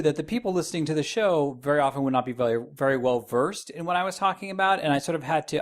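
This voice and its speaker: A man talking casually as a guest in a podcast interview. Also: fairly high pitch. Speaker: podcast guest, radio producer.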